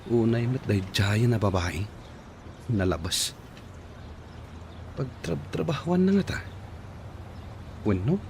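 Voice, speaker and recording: A man's voice: medium pitch, sing-song, slow pace, creaky, long pauses, darkish tone, slight background noise, exaggerated voice. An actor with an Ilocano accent playing a cheeky, argumentative young man in a radio drama.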